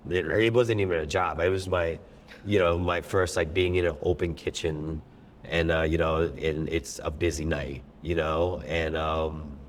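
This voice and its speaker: A man's voice: extremely low pitch, steady tone, a little slow, a little hiss or hum. A middle-aged man, a chef being interviewed, telling his story in a rambling way with many fillers and restarts. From born in Thailand, raised in New York. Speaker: chef and restaurant owner, guest.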